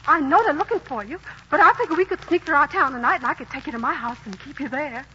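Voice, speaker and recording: A gentle, devoted young woman in an old radio drama, her voice very high and bright. She talks slowly and softly, pleading. The broadcast sound is dull and lo-fi. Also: a little hiss or hum. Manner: soft, pleading, sings tenderly. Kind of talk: 1940s radio acting.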